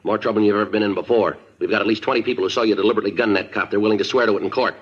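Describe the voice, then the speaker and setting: Terse detective, American, fast clipped speech, matter-of-fact, vintage 1950s radio, dull lo-fi recording. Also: male voice, fairly low pitch, fairly even intonation, slightly rough. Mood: stern. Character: terse no-nonsense male police detective and narrator. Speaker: actor. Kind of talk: 1950s radio crime drama narration and dialogue.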